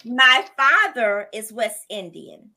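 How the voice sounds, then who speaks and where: A woman's voice: fairly high pitch, big pitch swings, slow, pushed and bright. A woman hosting a podcast and coaching writers, talking in an effusive, enthusiastic and preacherly way. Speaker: podcast host and writing coach. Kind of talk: hosting a podcast book launch.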